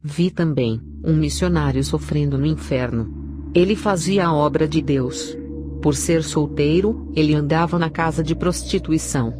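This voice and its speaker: A woman's voice: low-pitched, normal speed, noisy background. A woman with a Brazilian accent reading a scripted narration of a religious testimony. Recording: clear background music under the voice. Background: music.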